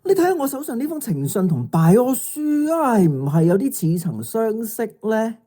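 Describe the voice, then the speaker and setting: A woman's voice: on the high side, big pitch swings, normal speed, dark tone. A woman playing a mocking, bossy teenage schoolgirl with a Hong Kong Cantonese accent in an audio drama. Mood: taunting. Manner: taunting, theatrical delivery.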